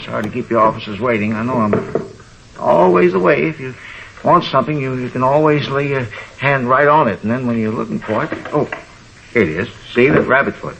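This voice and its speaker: An actor playing a fussy, nosy, indignant landlord in an old-time radio detective drama, rambling on with folksy grammar. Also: male voice, medium pitch, brisk, vocal fry, natural voice. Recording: dull, noisy old radio recording.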